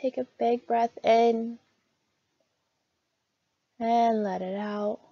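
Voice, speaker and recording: Woman, meditation guide, slow pace, soft mellow tone, gentle and soothing, scripted delivery, dry slightly muffled recording. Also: a little high, steady tone, creaky, no echo. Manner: soothing, gentle, unhurried instruction.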